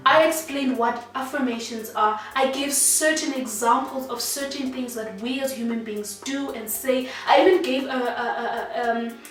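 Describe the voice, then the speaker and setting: A woman from Zimbabwe hosting a solo sit-down talk, speaking earnestly and encouragingly, often asking rhetorical questions. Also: on the high side. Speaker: talk show host. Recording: very echoey room, distant mic, faint music.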